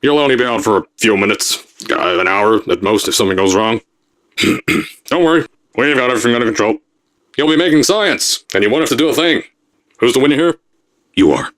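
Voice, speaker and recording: Actor as a brash boastful company boss, barking pre-recorded announcements for a game. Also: male voice, mid-range voice, expressive, fairly quick, natural voice. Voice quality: loud, boastful salesman bluster.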